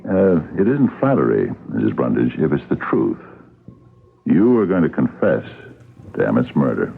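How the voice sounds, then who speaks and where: An actor playing a shrewd, patient police captain in a radio drama, gravelly and hesitant as he probes. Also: a bass voice, wildly swooping pitch, heavily acted. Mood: quietly accusing. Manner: hesitant, deliberate, understated interrogator. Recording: noisy, narrow-band vintage radio drama recording.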